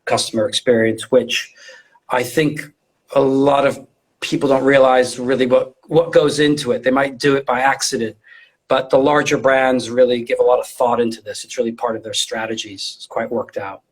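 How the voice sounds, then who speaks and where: A man's voice: mid-range voice, fairly even intonation, normal speed, husky, low-quality recording. Middle-aged man explaining informally, repeating himself. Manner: informal, explanatory, repeats words while thinking. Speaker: guest, retail design and visual merchandising specialist. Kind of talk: conversational interview explanation.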